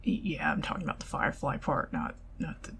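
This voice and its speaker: A middle-aged woman with a Southern US accent, speaking softly and partly in whispers in an ASMR date roleplay. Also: low-pitched, very animated, quick pace, vocal fry, slight background noise. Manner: intimate, soft-spoken, giggly, partly whispered.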